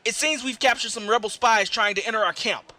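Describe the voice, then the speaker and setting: A man playing a brisk, suspicious military captain in an audio drama, reporting in a clipped, formal way. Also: high voice, sharp tone, slight background noise. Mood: dutiful, urgent. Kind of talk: scripted audio drama acting.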